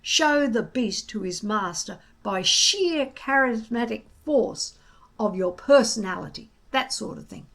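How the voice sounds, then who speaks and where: A woman's voice: on the high side, lively intonation, a little slow, gravelly, a bit bright, exaggerated voice. A woman voicing a gloomy, unheroic young Viking boy in a children's storybook, complaining in a plaintive, emphatic way.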